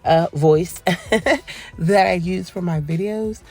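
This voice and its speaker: A woman giving a soft-spoken voice-over for a nail tutorial, polite and relaxed, rambling with frequent ums. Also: lowish voice, slow. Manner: soft, quiet, polite phone-etiquette voice. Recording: voice-over with faint background music.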